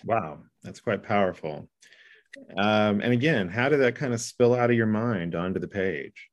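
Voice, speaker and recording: A man interviewing a musician, asking long, measured questions. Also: lowish voice.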